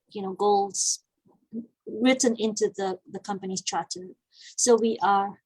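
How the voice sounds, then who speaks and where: A woman's voice: a little high, dry recording, rough recording. A woman in her forties from Malaysia, a guest talking casually in an interview, chatty and laughing easily. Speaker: guest, business founder.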